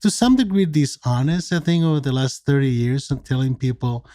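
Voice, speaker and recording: A man in his sixties from Mexico talks in a measured, explanatory way, pausing on fillers as he lays out his thinking. His voice is mellow and warm, recorded dry and close with little room sound. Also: medium pitch, lively intonation, dry recording. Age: 60s. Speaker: psychiatrist guest. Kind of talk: conversational podcast interview.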